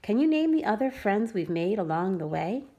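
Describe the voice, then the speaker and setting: A woman preschool teacher reading a rhyming picture book aloud to children with expressive storytelling. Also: medium pitch, moderate pace, lo-fi sound. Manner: expressive, sing-song storytelling.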